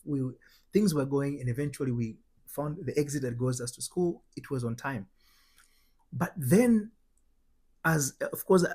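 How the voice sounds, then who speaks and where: A man's voice: mid-range voice, a little mellow, slightly rough. A man from Tanzania with a Tanzanian accent, giving motivational spiritual teaching in an emphatic, repetitive way with rhetorical questions. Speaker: host, spiritual transformation coach.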